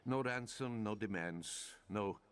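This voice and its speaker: A man's voice: lowish voice, slow pace. A man playing a swaggering, gallant cat-folk investigator in a fantasy game, theatrical and pompous. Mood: grave, dramatic.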